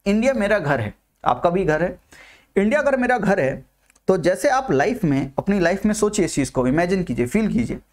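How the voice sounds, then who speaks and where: A man's voice: high voice, big pitch swings, moderate pace. A male teacher delivers an exam-prep economics lecture for a video. He restates each idea several times and drops English terms into the explanation. He keeps stopping to ask whether the listener is following. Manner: repeats points and asks listeners questions; mixes English terms into talk.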